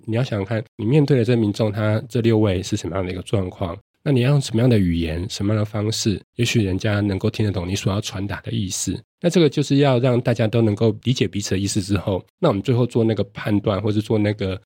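A man's voice: on the low side, dark tone, slightly rough, dry recording, studio quality. A man with a Taiwanese Mandarin accent explaining things earnestly and at length, as a guest judge in a conversational interview.

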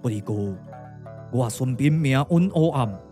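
A man with a Taiwanese accent playing a solemn, world-weary older strategist lord in stately, drawn-out puppet-theatre radio drama style. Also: medium pitch, animated, dark tone, soft background music, natural voice. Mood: gloomy, resigned.

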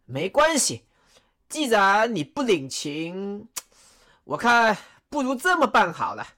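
A woman's voice: mid-range voice, very animated, slow, fairly bright tone, exaggerated voice. A woman playing Cao Cao, a wry, menacing chancellor who lectures mockingly and makes sly threats. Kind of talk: radio drama acting.

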